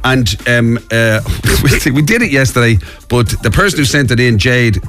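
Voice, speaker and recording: A man's voice: medium pitch, expressive, moderate pace, fairly bright tone, soft background music. Chatty Irish man on morning radio. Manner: chatty, giggly, lots of fillers. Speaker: radio host. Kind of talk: casual morning radio banter. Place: radio studio.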